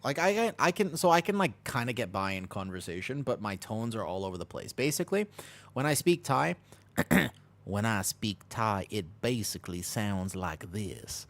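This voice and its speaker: Low-voiced American man chatting on stream. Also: expressive, normal speed, a bit bright. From United States. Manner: chatty and rambling, reads and answers chat, laughs easily. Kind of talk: casual gameplay stream chat.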